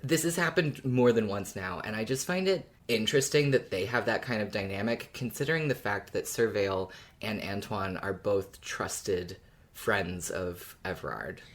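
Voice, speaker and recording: A woman's voice: very deep voice, lively intonation, normal speed. A woman co-hosting a podcast, talking informally and enthusiastically as a fan about a story. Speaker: podcast co-host. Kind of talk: casual fan discussion of an audio drama.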